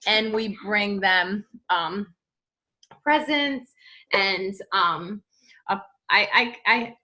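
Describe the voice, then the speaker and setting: A woman from Peru, a podcast guest in casual interview chat, talking haltingly with many ums and restarts. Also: mid-range voice, bright, forceful tone, poor audio.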